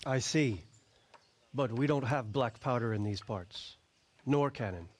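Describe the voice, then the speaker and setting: An actor playing a commanding, courteous medieval lord in a video game, with formal phrasing. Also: male voice, medium pitch, unhurried, lightly acted. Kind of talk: dramatic video game acting.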